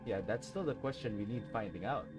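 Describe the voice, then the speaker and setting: Male game streamer over loud music. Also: fairly low pitch, lively intonation, a little fast, poor audio. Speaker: streamer playing a video game. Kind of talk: casual gameplay reactions.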